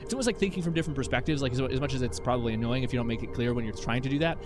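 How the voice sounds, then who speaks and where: A man's voice: medium pitch, very fast, over music. A young adult man streaming live, chatting with his viewers in a rambling, laugh-filled way with many restarts. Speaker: streamer reading chat. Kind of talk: casual live-stream chat.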